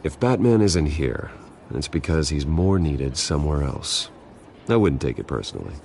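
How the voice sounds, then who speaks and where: An actor playing a brooding, composed wealthy man with a secret in a video game, calm and understated. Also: extremely low pitch, moderate pace, gravelly, a little hiss or hum, full character voice. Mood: calm, guarded.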